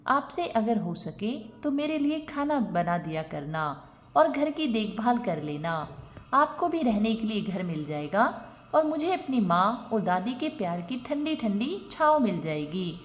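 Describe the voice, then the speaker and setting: A woman's voice: medium pitch, big pitch swings, a little slow. Woman voicing a tender, lonely young man. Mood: earnest, pleading. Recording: long reverb on the voice.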